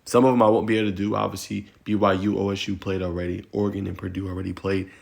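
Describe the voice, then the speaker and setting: A man, giving energetic, conversational college football picks as a sports content creator. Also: sits low, rapid-fire, creaky. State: hoarse.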